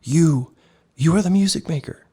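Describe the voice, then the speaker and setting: A man's voice: on the high side, unhurried, gravelly, warm tone, pitched above the performer's own voice. A man voicing a small, brave young polar bear cub who cries out eagerly in a trembling voice.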